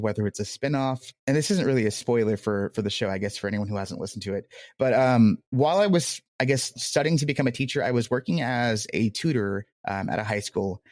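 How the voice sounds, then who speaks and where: A man talks as an interview guest about his own work in a reflective, conversational way. His pitch sits in the middle range and the sound is dry. He hedges as he goes and often quotes himself. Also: darkish tone, no room sound. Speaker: podcast guest, audio drama creator.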